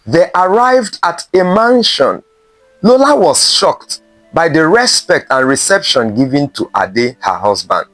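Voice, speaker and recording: A man narrating a scripted folktale in a measured way, with dramatic pauses between short phrases. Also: on the high side, very animated, fairly bright tone, dry recording, faint music behind. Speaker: storyteller.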